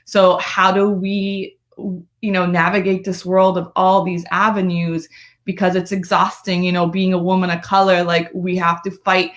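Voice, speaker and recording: American female activist guest, lowish voice, conversational, earnest, emphatic delivery. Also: flat delivery, moderate pace. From United States, born in Brooklyn, living in Florida. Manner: earnest, emphatic, often seeking agreement with "right?". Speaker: guest, community activist and political strategist. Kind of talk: conversational interview on a live stream.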